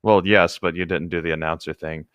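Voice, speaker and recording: A man's voice: deep voice, steady tone, brisk, fairly bright tone, clear, resonant tone, no echo, muffled recording. A man co-hosting a book read-aloud, switching between narrating a novel and casual, slightly hesitant joking commentary.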